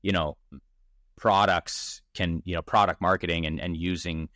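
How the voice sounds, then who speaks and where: A man of thirty speaks in a low voice, casual and energetic. He thinks aloud as a founder on a podcast, restarting often. The sound is dry and close. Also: moderate pace, no room sound. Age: 30s. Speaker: startup founder, podcast guest. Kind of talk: casual interview conversation. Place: Toronto.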